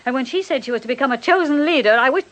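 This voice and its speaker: A woman's voice: high voice, expressive, very fast, a bit bright. A woman playing an elderly housekeeper and former nanny in a radio drama, devout, bitter and grieving, with fervent righteousness and a servant's deference. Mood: bitter, anguished. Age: older.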